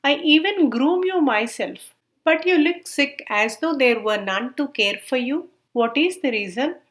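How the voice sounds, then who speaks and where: A woman's voice: high-pitched, expressive, a little slow. A woman voicing an anguished rich landowner in a storybook tale, lamenting and questioning. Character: anguished, devoted rich landowner, adult man.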